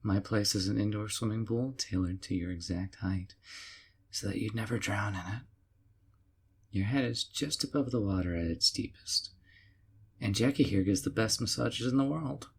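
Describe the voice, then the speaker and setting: An actor playing a sweetly possessive young yandere man in an audio roleplay, doting and softly teasing. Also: lowish voice, normal speed, vocal fry, slightly rough. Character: doting obsessive young male yandere captor.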